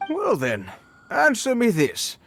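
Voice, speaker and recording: A man's voice: extremely high pitch, wildly swooping pitch, takes it slowly, a bit bright, exaggerated voice. A man playing a didactic, reverent and argumentative scholar in a fantasy video game, lecturing formally and emphatically. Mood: challenging, indignant. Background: background music.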